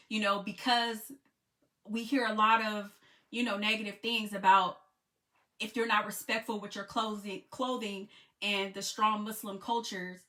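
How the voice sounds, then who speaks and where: American woman, bright tone, chatty vlog storytelling, lots of fillers, mid pitch. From United States. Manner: conversational, many "you know" fillers, grows excited. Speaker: travel vlogger and group trip leader. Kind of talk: casual storytelling to camera.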